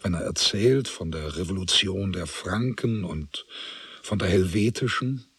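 A man narrating a literary German radio drama in measured, fragmentary phrases. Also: deep voice, animated, fairly bright tone, slightly rough, no echo.

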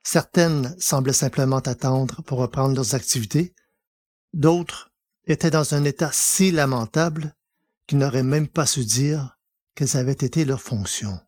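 Slow, deliberate male storyteller. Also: medium pitch, a little mellow, breathy edge, no echo, clean studio sound. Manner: deliberate, pausing between phrases. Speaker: narrator. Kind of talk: scripted literary narration.

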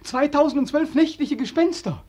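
An actor playing a bitter, despairing young war veteran in a 1940s German radio drama, anguished, sardonic and accusing. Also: male voice, very high-pitched, expressive, normal speed, darkish tone, no room sound. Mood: shrill, mocking. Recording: dry 1940s radio studio recording.

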